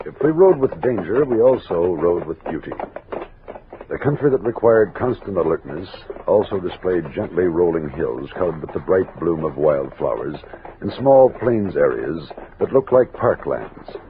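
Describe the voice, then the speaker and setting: An actor playing a steady frontier army captain who leads an expedition and narrates it in measured, commanding old-time radio style. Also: male voice, low-pitched. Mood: reflective narration. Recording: tinny noisy old radio recording.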